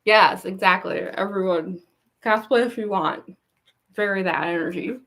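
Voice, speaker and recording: A young woman with a gruff, raspy voice and a goofy laugh, chatting casually with viewers on a livestream, rambling with lots of fillers. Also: mid-range voice, measured pace, a bit bright. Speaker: cosplay livestreamer.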